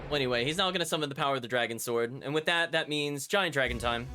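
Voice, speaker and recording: A man's voice: mid-range voice, racing, noisy background. A man live-streaming a video game, thinking aloud and chatting to his viewers as he plays. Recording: music playing behind the voice. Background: video game music.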